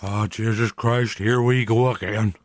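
An actor playing a feisty, world-weary old male film director in a radio drama, excitable and sweary. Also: on the low side, a little slow, slight background noise, close to an everyday voice. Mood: weary, startled.

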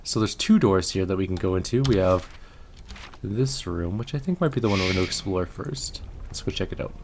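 A man's voice: low voice, animated, fairly quick. A man giving casual let's play commentary on a video game as he plays. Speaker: gaming video host. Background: game music and effects.

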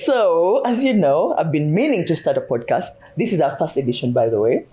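A woman's voice: low voice, big pitch swings, dark tone. A woman with a Ugandan accent hosting a casual podcast chat in an effusive, exclaiming manner. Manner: effusive, exclaiming, quick to interject. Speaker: podcast host and family therapist. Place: small room with a sofa. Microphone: podcast microphone on boom arm.

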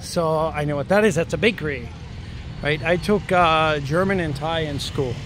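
A man's voice: on the high side, normal speed, a bit bright. A man walking and filming as he goes, musing aloud in a rambling way with frequent "right?" tag questions. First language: English. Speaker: travel vlogger. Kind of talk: casual walking commentary. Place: outdoors on city streets. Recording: outdoor street noise. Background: traffic and street noise.

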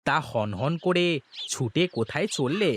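A man voicing a bantering, nosy villager who rides the ferry in an animated folk tale. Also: on the high side, measured pace, slight background noise. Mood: teasing. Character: teasing male villager, boat passenger haggling over fares.

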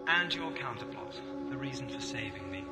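A man's voice: a little high, wildly swooping pitch, moderate pace, over loud music. A man playing a principled, inquisitive time traveller in a science-fiction audio drama, questioning and moralizing.

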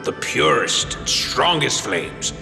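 Slow, creaky old dwarf blacksmith. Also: male voice, mid-range voice, very animated, full character voice. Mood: grave. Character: earnest old dwarf blacksmith, gruff and dutiful. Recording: echoey hall reverb under game music.

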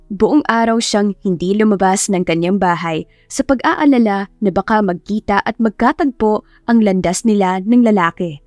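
A woman's voice: mid-range voice, darkish tone, no echo. A woman narrating a scripted romance audiobook story, close to the microphone.

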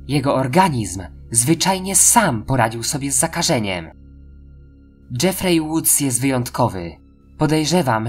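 An audio drama scientist played by a woman with a strikingly low female voice. She reports to her superiors in a formal, clinical and deferential way. Music plays faintly underneath. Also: exaggerated voice. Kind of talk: acted scientific briefing in a Polish audio drama.

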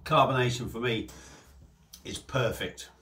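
A man's voice: mid-range voice, unhurried, a bit bright, a little hiss or hum. Man chatting about beer. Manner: chatty, repeats himself, restarts phrases. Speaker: beer reviewer. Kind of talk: casual beer review to camera. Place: small home room with shelves.

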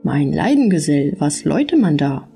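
A woman's voice: low-pitched, sing-song, moderate pace, vocal fry, warm tone, soft background music, heavily acted. A woman voicing a simple, chatty, boastful Swabian man in a folksy fairy-tale audiobook. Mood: chummy.

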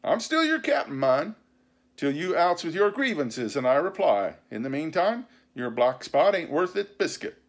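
A scornful, commanding pirate captain from a sea-adventure storybook. The voice rides high and swoops widely, full of sly sarcasm and blustering, salty sailor talk. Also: male voice, sing-song, moderate pace. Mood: defiant. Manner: rough nautical slang, sarcastic, blustering speechifying.

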